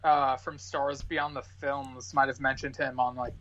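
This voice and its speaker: A man's voice: medium pitch, level tone, unhurried, bright, forceful tone. A man chatting casually as a podcast guest, tentative and matter-of-fact, on dull lo-fi audio.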